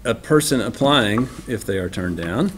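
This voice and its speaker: A man on a board making formal, deliberate remarks at a public meeting. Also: fairly low pitch, lively intonation. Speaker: board member. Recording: noisy meeting room recording.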